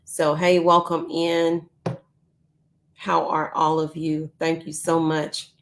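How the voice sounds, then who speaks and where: A woman's voice: low-pitched, little pitch movement, slow, slight background noise. A woman hosting a casual live news stream from home, chatty with frequent ums, who is losing her voice. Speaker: YouTube news channel host.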